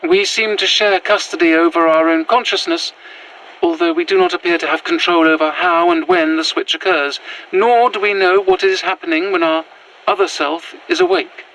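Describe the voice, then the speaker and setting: A woman's voice: lowish voice, normal speed, a bit bright, rough recording. A woman playing a prim Victorian widow revived in another's body, speaking formal old-fashioned in an audio drama. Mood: measured, formal. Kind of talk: found-footage audio drama monologue.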